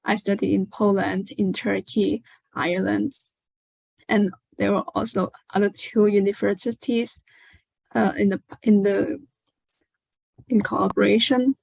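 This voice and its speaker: Young woman from hong kong, careful and a bit halting, some vocal fry, dry close sound. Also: mid-range voice, little pitch movement, darkish tone, no room sound, rough recording. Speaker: PhD student panelist. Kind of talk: self-introduction on online panel.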